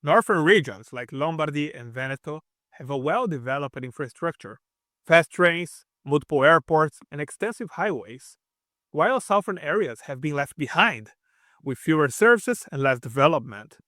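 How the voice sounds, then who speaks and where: A man's voice: on the high side, expressive, normal speed, a bit bright, no echo, clean studio sound. A man hosting a video channel, narrating a prepared script in slightly non-native English, earnest and explanatory, talking straight to his viewers and repeating key advice for emphasis. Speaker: expat channel host.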